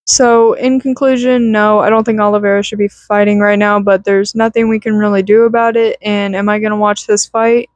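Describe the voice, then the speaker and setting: A woman with a raspy voice giving a candid, rambling rant to her channel, swearing casually. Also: medium pitch, little pitch movement, a little fast, few pauses, dry recording. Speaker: YouTuber.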